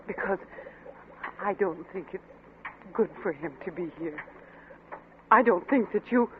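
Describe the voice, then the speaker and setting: An actress playing a gentle, sorrowful, devoted wife, hesitant and tender in 1940s radio drama style. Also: female voice, medium pitch, animated, a little slow, lightly acted. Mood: tender, resolute. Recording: tinny, noisy old radio broadcast.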